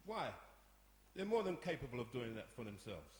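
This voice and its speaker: A man's voice: mid-range voice, big pitch swings, fairly quick, close to an everyday voice. A man playing a cheeky, easygoing brother in a radio play, blunt and casual as he questions things. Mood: matter-of-fact. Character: cheeky, easygoing adult brother who questions his sister. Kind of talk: live-performed radio play. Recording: echoey room, clear background noise.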